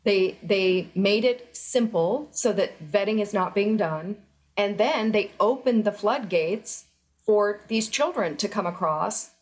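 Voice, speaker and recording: A middle-aged woman speaking as an interview guest, earnest and urgent, pressing her points with emphasis. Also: a little high. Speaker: interview guest, former federal employee. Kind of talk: remote news interview.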